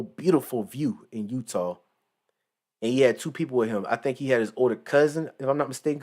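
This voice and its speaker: Male host, late 20s, casual slangy commentary, rambling and animated. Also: medium pitch.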